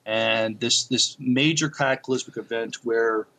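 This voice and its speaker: A man co-hosting a podcast, chatting casually about shows in a hesitant, halting way. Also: medium pitch, vocal fry, pushed and bright. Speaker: podcast co-host. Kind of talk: casual chat reviewing TV shows.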